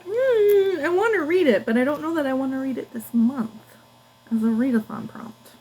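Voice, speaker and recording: A woman's voice: high voice, animated, moderate pace, darkish tone, slight background noise, poor audio. A woman book vlogger chatting casually to camera in informal, slangy language.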